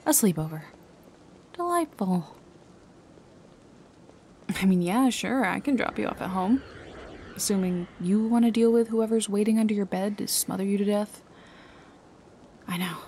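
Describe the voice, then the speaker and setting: A woman's voice: mid-range voice, unhurried, pauses often, a little mellow, slight background noise, natural voice. A woman playing a sarcastic female private detective in a noir ASMR roleplay, with a dry, casually streetwise delivery. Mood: dry, teasing. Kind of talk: noir ASMR audio roleplay, intimate second-person acting. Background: music, rain and city ambience.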